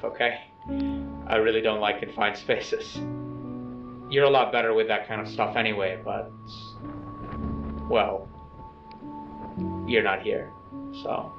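Actor as an awkward earnest man, halting video-letter monologue, lowish voice, muffled playback with music behind. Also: lightly acted. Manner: hesitant, halting, softly self-effacing. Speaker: narrator of a personal video letter. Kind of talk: low-key found-footage video message monologue. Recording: dull, echoey, distant playback with music.

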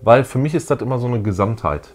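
A man's voice: medium pitch, lively intonation, quick pace, a little mellow. A middle-aged man, chatting casually as a co-host in a fan show. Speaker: YouTube co-host. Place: small room full of collectibles, indoors.

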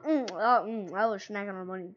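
A woman's voice: medium pitch, fairly quick, highs cut off, pitched below the performer's own voice, natural voice. A woman voicing a sheepish, greedy shadow animatronic bear in a game role play, hesitant and mumbling, on a noisy home recording. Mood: sly, hesitant.

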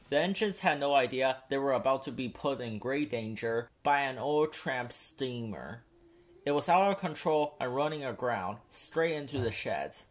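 A man reading a children's picture book aloud as a storyteller, expressive and deliberate. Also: medium pitch.

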